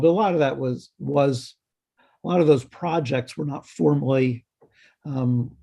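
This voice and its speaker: A man's voice: mid-range voice, gravelly, mellow, husky. Hesitant man on a video call. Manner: halting, many ums and restarts. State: slightly hoarse. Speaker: health department staff presenter. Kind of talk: committee meeting update.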